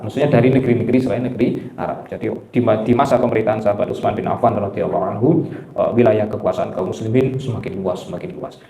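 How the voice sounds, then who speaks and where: A man teaching a Quran study class, talking conversationally, putting questions to the audience and often clearing his throat. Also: mid-range voice, mellow. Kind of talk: religious study lecture to a congregation. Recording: echoey room with faint background noise.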